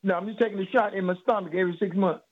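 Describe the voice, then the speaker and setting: Older guy in his 70s, stammers and restarts a lot, high-ish voice, thin phone line sound. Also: fairly even intonation. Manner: hesitant, halting with many stammered restarts. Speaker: caller. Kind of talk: phone call to a radio health show.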